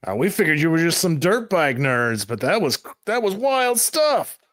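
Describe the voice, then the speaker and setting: A man's voice: on the high side, big pitch swings, normal speed, a bit bright, no room sound, studio quality, pitched above the performer's own voice. A man voicing an impressed, chatty young punk-scene woman in casual slang, in a tabletop roleplay. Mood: excited.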